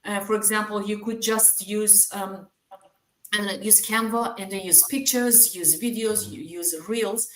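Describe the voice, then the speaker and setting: A woman's voice: medium pitch, fairly even intonation, a little slow. Woman, Persian accent, second-language English, reassuring group-chat speaker, echoey room. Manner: reassuring, hesitant with many fillers. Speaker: team member sharing experience.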